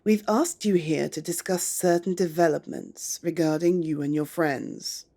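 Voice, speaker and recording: An actress playing a stern, formal female council elder who speaks in a measured, commanding way. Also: lowish voice, steady tone, mellow. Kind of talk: audio drama acting.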